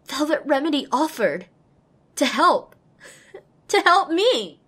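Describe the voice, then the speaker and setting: A woman's voice: high-pitched, slow, full character voice. A young woman voicing an earnest, self-deprecating young female pony apprentice, narrating an audio drama expressively and wryly. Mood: awed, excited. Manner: expressive, wry. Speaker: lead and first-person narrator.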